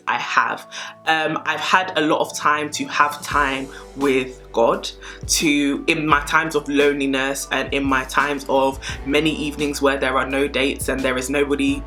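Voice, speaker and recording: A twenty-year-old British woman vlogging in a casual, chatty Q and A style, with an animated, emphatic way of talking. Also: low-pitched.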